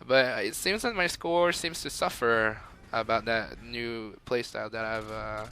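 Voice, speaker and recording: A young man with a light Quebec French accent talks over his own gameplay. His voice is bright and forward, and he rambles with fillers and chuckles. There is a faint hiss under it. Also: medium pitch, a little slow. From Montreal, Canada. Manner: rambling and chatty, with frequent fillers and laughs. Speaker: gamer. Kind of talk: gameplay commentary.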